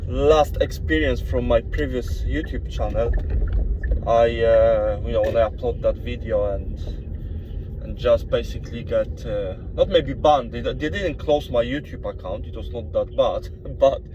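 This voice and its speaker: A man's voice: mid-range voice, unhurried. Hesitant man with a Polish accent, in a car. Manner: hesitant, full of "you know" and restarts. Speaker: vlogger. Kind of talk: casual monologue to camera. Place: inside a car. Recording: dull sound with car and road noise.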